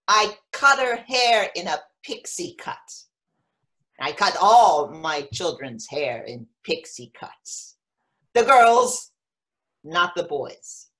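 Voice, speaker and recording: A woman acting a frank, wistful elderly mother of seven in a play reading, speaking with halting, matter-of-fact candour. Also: on the low side, sharp tone, heavily acted.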